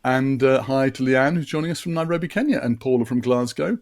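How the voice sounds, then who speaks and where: A man in his sixties presents a live online session in a chatty, upbeat way. He stumbles and restarts often and fills gaps with little fillers. His voice sits a bit deeper than usual after a late night. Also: a little fast.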